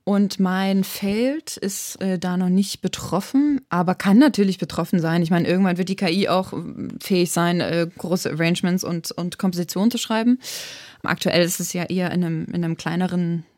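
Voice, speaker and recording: A woman's voice: fairly low pitch, normal speed, darkish tone, no room sound, studio quality. A woman in her thirties from eastern Germany, a composer talking thoughtfully in an interview and often restarting her sentences. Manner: thoughtful, searching for words with frequent restarts. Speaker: composer and arranger guest. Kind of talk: reflective interview talk.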